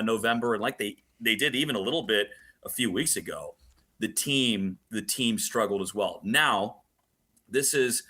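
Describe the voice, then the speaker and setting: A man's voice: mid-range voice, pushed and bright. A man speaking as a play-by-play announcer giving detailed, emphatic analysis in a sports radio interview.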